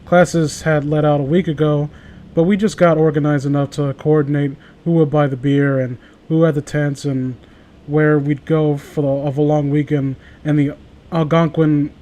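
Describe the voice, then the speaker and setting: A man's voice: a little high, a little mellow. A man chatting casually among friends and reading stories aloud, stumbling over words as he reads. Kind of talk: casual group banter and amateur story reading.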